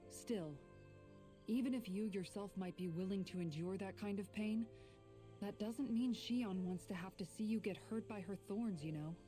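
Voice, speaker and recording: An actress playing a caring, steady, big-sisterly warrior in an anime video game, frank and sincere. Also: female voice, medium pitch, moderate pace, soft background music, close to an everyday voice. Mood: concerned.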